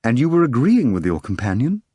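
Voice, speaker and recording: A man voicing a mysterious foreign gentleman with a foreign accent, polite and furtive. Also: medium pitch, big pitch swings, a little fast, husky, no echo, clean studio sound, pitched above the performer's own voice. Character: mysterious foreign gentleman, sly and deep-voiced.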